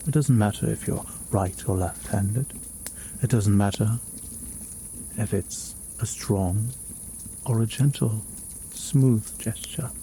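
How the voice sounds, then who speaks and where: A man plays a kindly fantasy mage for ASMR, healing a weary traveler. His voice is mellow and a little gravelly, very unhurried and soothing, often sinking near a whisper. Faint cricket sounds of a forest night sit behind him. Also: lowish voice, slight background noise. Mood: calm, instructive. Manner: soft-spoken, soothing, unhurried, often dropping toward a whisper.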